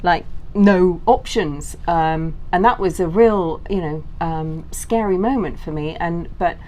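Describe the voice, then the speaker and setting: A woman's voice: fairly low pitch, slow, a little mellow, poor audio. A middle-aged woman being interviewed, telling her personal story conversationally as a guest. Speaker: interview guest, cancer survivor and author.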